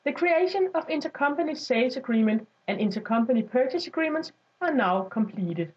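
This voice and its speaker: Dull lo-fi recording, high female voice, scripted instructional narration, software walkthrough. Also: expressive, a little fast. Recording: dull lo-fi voice-over.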